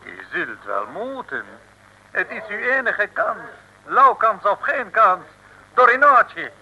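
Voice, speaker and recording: An actor playing a pompous, threatening foreign agent in a comic radio serial, with a formal, commanding, declamatory way of talking. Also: male voice, high voice, very animated, slow, pushed and bright, exaggerated voice. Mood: imperious. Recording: dull, hissy old radio recording.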